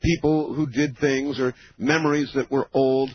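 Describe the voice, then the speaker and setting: A man of fifty hosting a late-night call-in radio show, explaining in a measured way with many pauses. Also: mid-range voice, fairly even intonation, breathy edge, no echo. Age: 50s. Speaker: radio talk show host. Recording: dull lo-fi radio broadcast.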